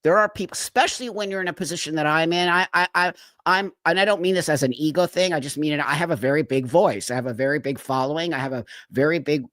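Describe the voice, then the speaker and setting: A man's voice: on the high side, quick pace, a bit bright, dry recording, studio quality. A middle-aged man hosting a livestream, talking casually and emphatically to his audience, often repeating himself for stress. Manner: emphatic, repetitive, conversational. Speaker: livestream host. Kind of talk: casual monologue to a live audience.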